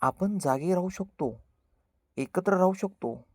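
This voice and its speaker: A man's voice: sits high, expressive, a little slow, darkish tone, no echo, clean studio sound, pitched above the performer's own voice. A man voicing a brave, resolute young boy hero in a firm, earnest manner for a children's story. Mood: calm, encouraging.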